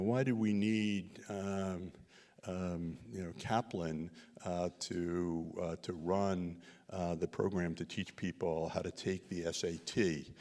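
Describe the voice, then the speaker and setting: Low-voiced man with halting speech in a reverberant hall. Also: darkish tone. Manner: halting, many fillers and restarts. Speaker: visiting researcher presenting a community study. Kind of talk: Q&A answers after a talk. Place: synagogue hall. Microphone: handheld microphone.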